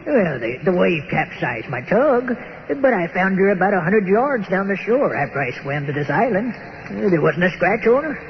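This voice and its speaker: An actor playing a folksy, excitable shipwrecked tugboat skipper in old time radio drama style. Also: male voice, sits high, normal speed. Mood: excited. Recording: muffled, reverberant radio recording with faint noise.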